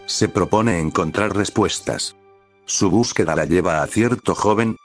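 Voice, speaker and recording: A man reading a fanfiction story aloud as scripted narration, measured and delivered in short phrases. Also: low-pitched. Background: soft background music.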